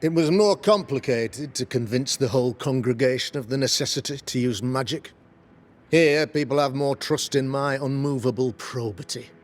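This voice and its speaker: A man's voice: medium pitch, normal speed, lightly acted. An actor playing a self-righteous Puritan witch-hunting governor in a video game, speaking in a formal, preacherly, pompous way. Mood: proud, self-assured. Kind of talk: dramatic video game acting.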